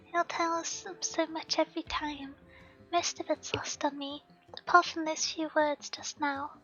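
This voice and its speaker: A gentle, devout young village girl speaks softly and earnestly, read aloud by a hoarse woman. The voice sits high and a little rough. The stream audio is slightly muffled, with faint game music underneath.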